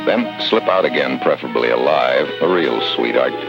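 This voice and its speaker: Deep-voiced, hard-boiled secret agent. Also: male voice, lively intonation, moderate pace, over loud music. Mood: dry, resigned. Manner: sardonic, hard-boiled, wisecracking. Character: wry, tough American male secret agent hero. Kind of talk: 1950s radio drama acting and narration. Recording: dull old radio recording.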